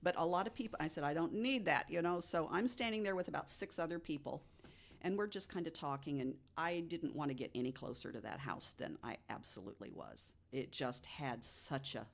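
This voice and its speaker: A woman with a distinctly low-pitched voice tells a ghost story in a conversational podcast style. She lays it out step by step and leaves dramatic pauses along the way. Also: expressive, gravelly. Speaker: podcast guest co-host.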